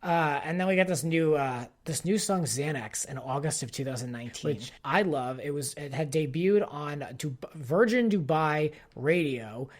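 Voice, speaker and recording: A man's voice: a little high, moderate pace, hardly any pauses. A man hosting a podcast, giving a casual, exasperated and opinionated fan commentary peppered with "like". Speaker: podcast host. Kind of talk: casual pop-culture commentary rant.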